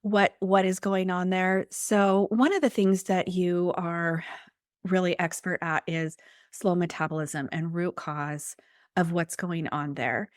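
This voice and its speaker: Woman host, weight loss coach, slightly croaky from a cold, lowish voice, dry close mic, chatty interview tone. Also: fairly even intonation, a little slow, no echo. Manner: chatty, enthusiastic, with many fillers. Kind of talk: podcast interview.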